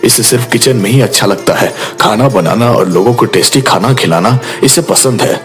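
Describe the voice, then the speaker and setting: A man's voice: on the low side, moderate pace, faint music behind, close to an everyday voice. A man playing a worried village father in a Hindi moral story. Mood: worried, pleading. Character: worried village father pleading about his son.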